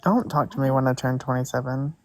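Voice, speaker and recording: A speaker in casual stream chat who makes dry, deadpan quips. Their delivery is relaxed and joking. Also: mid-range voice, a little fast, noisy background.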